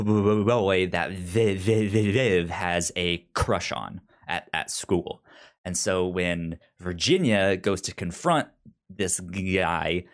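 Male podcast co-host, low voice, informal and conversational, slips into paraphrased dialogue while retelling stories. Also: fairly bright tone. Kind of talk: casual comic-book discussion.

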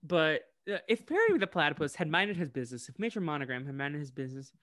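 A man co-hosting a podcast, recapping a cartoon episode in casual chat, animated and laughing often. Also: a little high, fast, dry recording, studio quality. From United States. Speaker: podcast co-host.

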